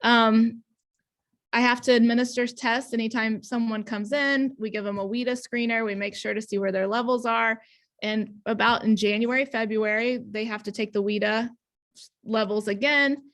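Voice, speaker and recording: A woman's voice: a little high, steady tone, moderate pace, fairly bright tone. A woman in her thirties from Indiana, an ENL teacher giving a conference talk over a video call, earnest with frequent ums and restarts. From Indiana, USA. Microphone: webcam on video call.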